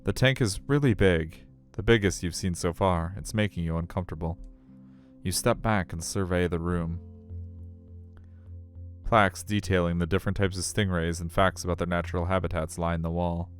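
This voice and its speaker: A man's voice: sits low, steady tone, moderate pace. A man reading a visual novel aloud as a streamer, in a storytelling style. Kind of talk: storytelling narration with casual asides. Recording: music under the voice.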